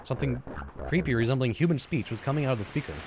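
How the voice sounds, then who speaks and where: A man's voice: mid-range voice, animated, racing, crowd noise. A man in his thirties reading visual novel narration aloud, informally, stumbling over words and adding casual comments. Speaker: gaming YouTuber, let's player. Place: indoor room, seated at a computer. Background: game music.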